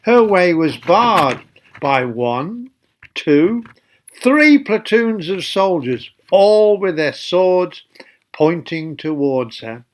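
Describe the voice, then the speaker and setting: A man reading a children's Bible story in a deliberate, emphatic way, speaking directly to young listeners. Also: high-pitched, sing-song. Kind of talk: expressive children's Bible storytelling, then gentle address and prayer.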